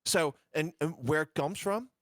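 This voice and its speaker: A man's voice: fairly high pitch, moderate pace, fairly bright tone, dry recording, clean studio sound. A man playing a tabletop game who stammers and repeats words as he asks questions. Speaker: player. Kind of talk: casual game chat.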